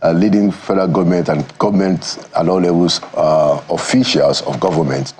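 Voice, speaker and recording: A Nigerian man answering as a guest in a TV studio interview, speaking in a measured, thoughtful way and pausing to find his words. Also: sits low, fairly even intonation, darkish tone, a little hiss or hum. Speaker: studio guest, former government aide. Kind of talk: morning TV interview.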